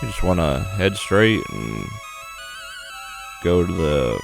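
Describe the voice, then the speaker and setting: A man's voice: low-pitched, sing-song, slow pace, gravelly. A man hosting a gaming video, narrating a playthrough in a casual, plainspoken way. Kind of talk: game playthrough commentary, reading on-screen story text. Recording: music behind the voice. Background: game music.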